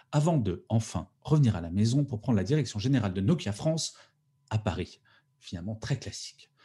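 A man's voice: mid-range voice, mellow, slightly rough. A man in his forties hosting a podcast interview, enthusiastic and a little theatrical. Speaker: podcast host.

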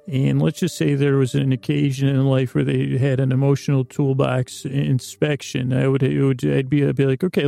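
A man hosting a bedtime podcast in a creaky, lulling tone, meandering and halting with long pauses. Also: medium pitch, mellow, no echo, clean studio sound. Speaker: podcast host. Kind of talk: rambling bedtime-story podcast monologue.

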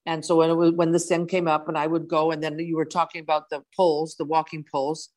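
Native Dutch speaker, halting guest, mid pitch, keeps restarting while searching for words. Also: completely flat delivery, a little mellow. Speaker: interview guest. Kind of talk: casual interview conversation.

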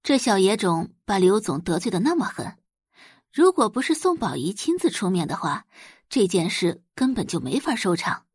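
A woman's voice: medium pitch, big pitch swings, moderate pace, a little mellow, breathy edge, no echo, studio quality. A woman narrator reading a romance novel expressively for an audio drama, as scripted storytelling.

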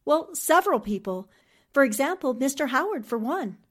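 A woman's voice: sits high, big pitch swings, normal speed. An actress playing a shrewd, self-assured newspaperwoman with a questioning manner in a 1940s-style radio drama. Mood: probing, assured. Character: composed, shrewd, assertive newspaperwoman sleuth.

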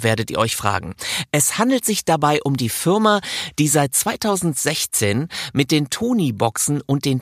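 Animated, husky male podcast host. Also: medium pitch, no echo, studio quality. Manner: informal, addresses listeners directly. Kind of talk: chatty scripted podcast presenting.

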